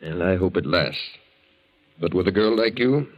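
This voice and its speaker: A man's voice: low voice, natural voice. An actor playing a weary, weak-willed married man in debt, speaking hesitantly in a 1940s radio drama. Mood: earnest, tender. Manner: hesitant, halting. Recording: dull, lo-fi 1940s radio recording.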